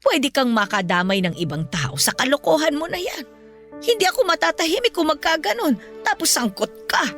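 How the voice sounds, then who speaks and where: A woman playing a gentle, principled ailing mother in a Filipino radio-style drama, speaking with earnest, patient counsel. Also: sits high, big pitch swings, a little fast, full character voice. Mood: earnest, firm. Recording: music bed under the voice.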